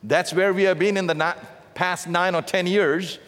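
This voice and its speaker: Indian-accented preacher in his fifties, slightly high voice, lively delivery, reverberant sanctuary. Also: moderate pace. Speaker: missionary preacher. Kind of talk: sermon. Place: large church sanctuary. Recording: long reverb of a big hall.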